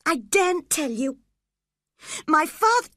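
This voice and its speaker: A frightened, sickly teenage boy, put on by a woman reading a storybook, sobbing and begging in a high, strained voice that leaps up and down. The sound is dry and close. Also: expressive, slow pace, pushed and bright, dry recording, exaggerated voice. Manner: sobbing, pleading, breathless.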